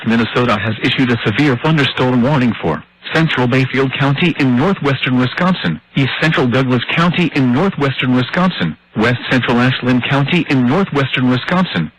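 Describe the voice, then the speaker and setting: A synthetic male voice reading formal emergency weather warnings in a measured, impersonal way over a radio broadcast. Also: medium pitch, a bit bright, rough recording. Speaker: automated weather radio announcer. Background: radio static and hiss.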